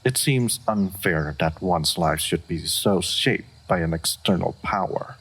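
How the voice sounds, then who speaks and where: Man voicing a very deep, gravelly ancient dragon. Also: a bit bright, heavily acted. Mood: calm, reflective. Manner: formal, deliberate, stoic. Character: ancient aloof male dragon, wise, weary, reserved. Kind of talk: roleplay audio monologue, measured fantasy acting.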